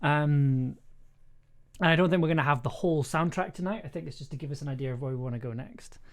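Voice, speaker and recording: A streamer talking casually and haltingly while making music, thinking aloud with playful exclamations. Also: mid-range voice. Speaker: streamer, audio drama producer and composer. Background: music being worked on, keyboard clicks and typing.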